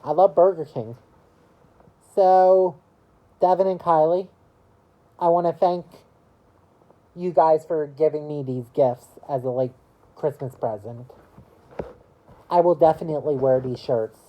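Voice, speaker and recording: Slow, low-voiced young woman rambling. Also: lo-fi sound. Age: early 20s. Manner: rambling, halting, leans on "you know" fillers. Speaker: vlogger. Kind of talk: casual year-review monologue to camera.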